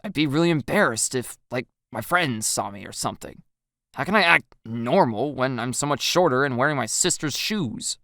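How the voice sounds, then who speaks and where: Exasperated teen boy, woman performer: embarrassed, sulky, very low register, dry sound, story dub. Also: expressive, moderate pace, fairly bright tone, dry recording, full character voice. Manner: sulky, sarcastic, complaining. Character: exasperated, embarrassed teenage boy, grumbling and put-upon.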